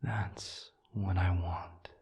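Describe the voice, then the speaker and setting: A man playing a calm, considerate demon in an intimate audio roleplay, speaking in a breathy near-whisper with measured, formal, gentle phrasing. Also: low-pitched, heavily acted. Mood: measured, reassuring. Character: calm, considerate male sadism demon offering comfort.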